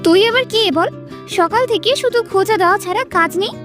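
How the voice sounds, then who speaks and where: Feisty young city girl, played by a woman, very high voice, petulant, romantic audio story, music behind. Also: a bit bright. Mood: irritated. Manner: huffy, petulant, quick to retort. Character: feisty, easily irritated young city girl on village holiday.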